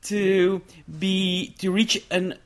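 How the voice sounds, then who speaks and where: Halting Dutch-accented male researcher. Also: high voice, monotone. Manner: thoughtful, halting, many restarts and fillers. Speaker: education researcher and book author. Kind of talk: interview answers.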